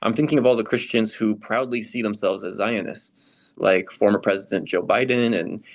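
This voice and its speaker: A man plays a troubled American churchgoer in a readers theater dialogue. The voice is somewhat low. The delivery is frank, earnest and conversational. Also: steady tone, normal speed. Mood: firm, earnest.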